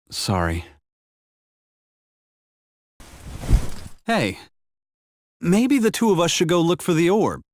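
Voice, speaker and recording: An actor playing a brash, determined young warrior in a fantasy video game, with a blunt, casual, emotive way of talking. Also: male voice, fairly high pitch, wildly swooping pitch, slow, full character voice. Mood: hesitant, earnest. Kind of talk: video game cutscene voice acting.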